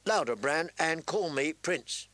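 A man's voice: a little high, lively intonation, measured pace, pushed and bright, no echo, voice pushed up. A man voicing an arrogant young prince who mocks and threatens his captives. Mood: commanding. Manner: sneering, commanding.

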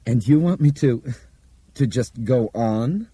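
A man voicing a dazed, incredulous man with a halting delivery in an audiobook thriller. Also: mid-range voice, very animated, dark tone, slightly rough, voice pushed up, heavily acted. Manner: halting, disbelieving.

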